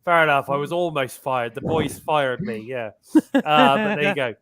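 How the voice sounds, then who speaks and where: A man's voice: on the high side, lively intonation, a loud crowd. A man hosting a live-stream talk show, chatty and upbeat, with a lingering cough. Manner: upbeat, chatty, drawn-out fillers. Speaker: podcast host. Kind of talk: casual live-stream chat.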